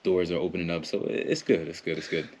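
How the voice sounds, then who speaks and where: A man's voice: deep voice, steady tone, fast, darkish tone. An American man in a casual podcast interview, a performance coach talking in a rambling way, with lots of fillers, restarts and laughs. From United States.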